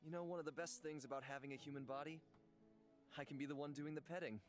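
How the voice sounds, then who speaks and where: A man voicing a gentle, wise, centuries-old god in human form for a visual novel, calm and measured, as if counselling. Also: a little high, natural voice. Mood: gentle, wistful. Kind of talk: visual novel character reading. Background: music.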